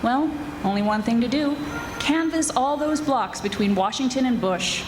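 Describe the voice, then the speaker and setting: A woman playing a wisecracking private detective in 1940s radio mystery style, with brisk, wry, hardboiled delivery. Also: a little high, background noise, close to an everyday voice. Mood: measured narration. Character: brisk, wisecracking female private detective narrating her case. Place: live stage radio theatre performance. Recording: echoey stage recording with music.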